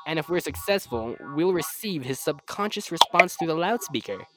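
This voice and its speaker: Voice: mid-range voice, expressive, normal speed, over loud music, lightly acted. An amateur player acting an eager, proud scientist-inventor in an old-time radio serial, explaining things in wordy technical detail. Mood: focused, commanding.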